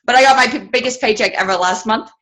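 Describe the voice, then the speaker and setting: A woman's voice: a little high, fast, a bit bright, slight background noise. Frank woman in casual team chat. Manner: frank, plain-spoken, determined. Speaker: sales team member.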